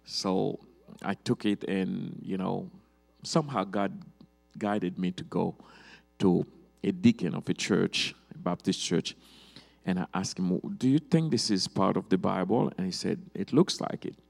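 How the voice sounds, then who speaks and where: A man with a Haitian accent, speaking English as a second language, giving an informal church testimony as a guest pastor in a halting, hesitant way. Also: low voice, vocal fry, darkish tone. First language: Haitian Creole or French. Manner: halting, hesitant, with repeated restarts.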